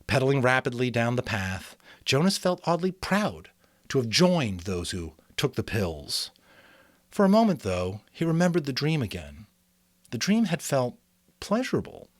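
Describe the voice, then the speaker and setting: A man giving a dramatic, expressive amateur audiobook reading with casual commentary. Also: medium pitch, moderate pace, dry recording, clean studio sound.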